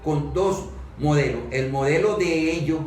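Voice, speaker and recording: A Venezuelan man in a political discussion, speaking haltingly and often repeating words as he works toward his point. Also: a little high, a little mellow, soft background music. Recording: very echoey room, distant mic, faint noise.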